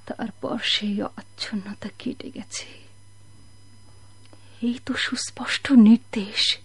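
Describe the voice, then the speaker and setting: Casting: anguished mother in radio melodrama, higher voice, slow, halting, breathy delivery. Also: steady tone, no echo, in a whisper, exaggerated voice. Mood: anguished, resolving. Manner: halting, anguished, breathy pleading with repeated words. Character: sorrowful, torn mother waiting for a lost husband. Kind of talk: melodramatic radio drama acting.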